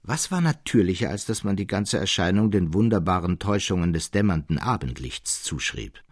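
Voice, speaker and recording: A man reading a classic literary tale as scripted narration, in an expressive, precise storytelling manner. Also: sits low, fairly quick, no echo, clean studio sound. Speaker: audiobook narrator.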